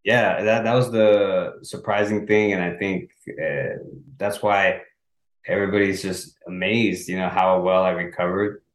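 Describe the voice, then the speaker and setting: A man's voice: deep voice, fairly even intonation, echoey room, rough recording. A young man, Spanish his first language, from El Salvador, talking earnestly as an interview guest with frequent restarts. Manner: earnest, halting with restarts and small laughs. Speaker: guest, author. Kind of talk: casual interview.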